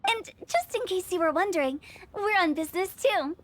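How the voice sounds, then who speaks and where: A woman's voice: very high-pitched, animated, moderate pace. An actress playing a playful, eccentric young female funeral parlour director in a game, chatty, teasing and theatrical. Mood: cheeky, breezy. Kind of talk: animated game voice acting. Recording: clear music bed behind voice.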